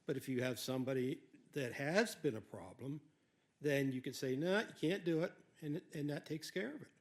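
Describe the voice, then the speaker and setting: A man with a big voice that carries across a township meeting room, pitched a little high. He talks emphatically and acts out yes-or-no replies as he lays out an idea. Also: normal speed. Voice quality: big, carrying voice. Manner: animated, emphatic, quotes replies aloud. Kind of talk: proposing ideas at a public meeting.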